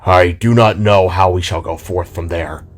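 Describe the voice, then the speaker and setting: A man voicing a grave older warrior in an audio drama, with slow, formal speech and held-back anger. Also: sits low, steady tone, soft background music. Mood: seething. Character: grave, angry older male warrior being.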